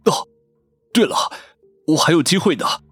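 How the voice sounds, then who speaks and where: A man's voice: fairly high pitch, animated, measured pace, a bit bright, slightly rough, faint music behind, heavily acted. A man playing a desperate, self-justifying middle-aged gambler and father in a radio drama, defensive in manner. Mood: scheming, frustrated.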